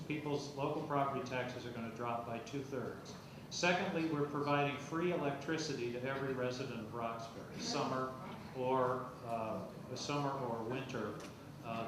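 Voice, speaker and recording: A man making a persuasive, assertive case point by point as a speaker at a public forum. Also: medium pitch. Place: public meeting hall. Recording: distant mic, very echoey hall, noisy.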